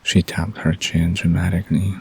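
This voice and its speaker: A man narrating a romantic bedtime story in a slow, intimate style. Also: sits low, level tone, a little mellow, slightly rough.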